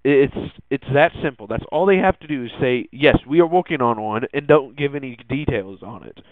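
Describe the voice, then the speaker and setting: A man's voice: medium pitch, lively intonation, a little fast, no room sound. A young man hosting a casual tech podcast, talking hesitantly with many ums and restarts. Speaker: podcast host. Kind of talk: casual tech news monologue. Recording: dry phone-like lo-fi audio.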